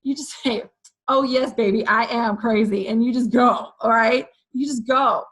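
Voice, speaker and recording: A woman with a hoarse voice giving an energetic motivational pep talk to her sales team, often checking in with "okay" and "you guys". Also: fairly high pitch, normal speed, rough recording. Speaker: direct sales team leader.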